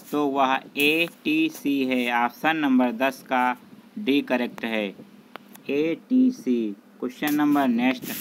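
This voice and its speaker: A man's voice: medium pitch, a little hiss or hum, low-quality recording. A man working as an exam tutor, methodically reading out multiple-choice questions and their answers.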